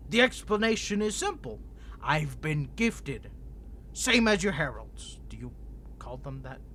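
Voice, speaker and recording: Crazy ancient vampire prisoner, flirty n taunting, swoopy sharp voice, audio roleplay. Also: male voice, high-pitched, slight background noise, full character voice. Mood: boastful, insistent. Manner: taunting, flirtatious, theatrical, with mock-sweet endearments. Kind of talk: scripted character roleplay audio.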